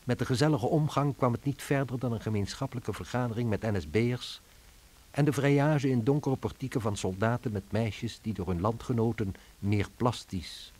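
A man's voice: medium pitch, normal speed, darkish tone. A man narrating a radio drama in a scripted, dry and ironic way.

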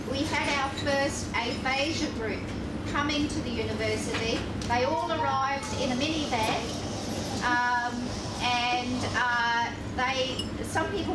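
A middle-aged Australian woman with a high voice talks slowly and unhurriedly at a dinner function, stopping often for fillers and restarts. She is caught by a far-off camera in an echoey function room, with loud background noise. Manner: hesitant, many fillers and restarts. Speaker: speech pathologist giving a history talk. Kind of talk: unscripted speech at a dinner. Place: function room with curtains, indoors. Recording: echoey room, distant mic, loud hum.

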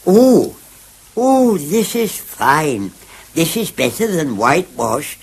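An actor playing a timid, excitable mole with an English accent, muttering and repeating himself in a 1970s radio drama. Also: male voice, fairly high pitch, slow pace, breathy edge, heavily acted. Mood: giddy delight. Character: timid, excitable old mole, easily delighted. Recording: hissy old radio broadcast.

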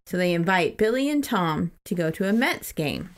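A woman's voice: on the low side, expressive, normal speed. A woman co-hosting a podcast, casually recapping a book in an informal, amused way. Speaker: podcast co-host.

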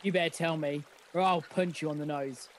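A woman's voice: low-pitched, steady tone, fairly quick, lightly acted. A woman playing a brave, dry-witted young hero in a radio pantomime. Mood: indignant.

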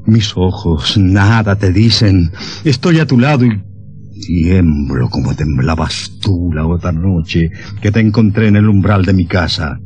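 Slow, deep-voiced, brooding maestro. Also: male voice, darkish tone, breathy edge, soft background music. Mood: desperate pleading. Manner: impassioned, theatrical. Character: brooding, possessive older maestro, in love with his ward. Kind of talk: old radio melodrama acting.

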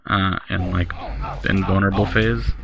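A man's voice: sits low, steady tone, moderate pace, gravelly, crowd noise. A young man with an American accent chatting casually and joking while playing a game with friends. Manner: joking, laughs often, swears at the game. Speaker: gamer streaming with friends. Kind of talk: casual gaming chat. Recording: noisy voice chat.

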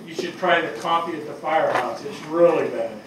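An older man acting a grieving, earnest, plainspoken veteran fire captain in a halting stage reading. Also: fairly high pitch, close to an everyday voice. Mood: humble, earnest. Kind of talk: dramatic stage reading of a play. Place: small theatre stage before seated audience. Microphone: distant camera microphone. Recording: echoey hall, distant mic, clear noise.

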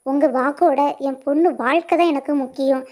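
A woman's voice: high-pitched, steady tone, brisk, a little mellow, natural voice. A woman voicing an anxious middle-aged mother who argues in an upset, protective way. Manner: upset, pleading.

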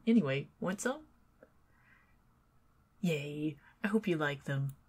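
A woman with a Southern American accent doing an ASMR roleplay as a chatty, teasing neighbor who talks to the listener and drifts into soft whispers. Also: sits low. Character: friendly talkative young woman neighbor.

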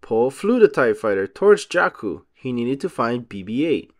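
A man narrating a children's storybook aloud, phrasing each short sentence deliberately for young listeners. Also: mid-range voice, very animated.

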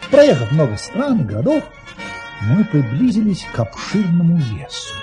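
An actor playing a jovial, boastful baron, telling tall tales theatrically in a grand, courteous, exclamatory manner. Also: male voice, on the high side, wildly swooping pitch, moderate pace, over music. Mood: storytelling. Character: jovial boastful baron recounting his tall-tale travels. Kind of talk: theatrical audio-play storytelling.

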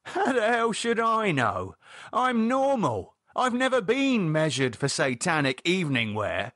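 A man's voice: high voice, wildly swooping pitch, a little slow, a bit bright, dry recording, clean studio sound, voice pushed up, full character voice. A man playing an exasperated, sarcastic British sceptic. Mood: irritated. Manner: dismissive, mocking, exasperated, colloquial. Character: irritated, sarcastic man, a practical no-nonsense sceptic. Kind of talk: audiobook character dialogue.

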